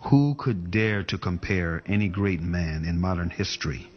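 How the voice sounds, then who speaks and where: Male voice-over, low register, measured quotation reading, dull lo-fi sound. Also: animated, breathy edge. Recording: dull lo-fi audio. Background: faint music.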